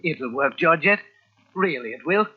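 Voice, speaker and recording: Earnest, husky older husband. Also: male voice, on the high side, unhurried. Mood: pleading, stubborn. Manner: earnest, pleading, excitable. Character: earnest, clinging older well-to-do husband, pleading and stubborn. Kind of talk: old-time radio mystery drama acting. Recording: dull old radio recording from cassette.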